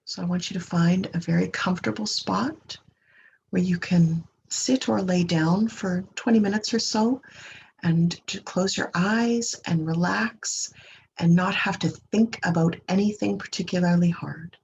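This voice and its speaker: A woman leading a guided meditation in a gentle, unhurried manner, leaving long pauses and trailing off breathily at the ends of phrases. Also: fairly low pitch, fairly even intonation. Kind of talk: guided meditation, slow soothing instruction. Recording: dull lo-fi recording with clear background noise.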